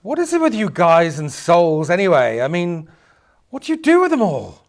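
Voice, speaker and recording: A man's voice: high-pitched, huge pitch swings, moderate pace, exaggerated voice. A man playing a flustered, brainy mortal who bargains for his soul, hesitant and incredulous, in a dramatic script reading. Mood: indignant.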